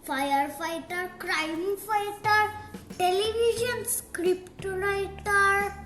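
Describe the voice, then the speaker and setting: A young girl reading a rhyming picture book aloud in a deliberate, sing-song storytime style. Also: very high voice, fairly bright tone. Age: child. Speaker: young reader of picture books. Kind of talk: read-aloud storytime narration of rhyming verse. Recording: roomy echo, music playing behind. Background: music.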